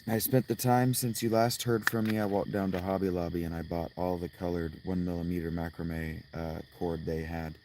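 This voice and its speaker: A man with a hoarse, strained voice, rambling and swearing as he chats casually with viewers on a livestream outdoors at night. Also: deep voice, fairly even intonation, moderate pace, hardly any pauses, darkish tone, a little hiss or hum, low-quality recording. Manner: rambling, profane, restarts often.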